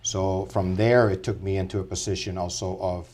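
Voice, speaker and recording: A middle-aged man from Puerto Rico talks as a podcast guest, earnest and animated as he recounts his life. His voice sits low for a man. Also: normal speed, breathy edge. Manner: earnest, animated, rising in energy as he tells his story. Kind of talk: casual interview storytelling.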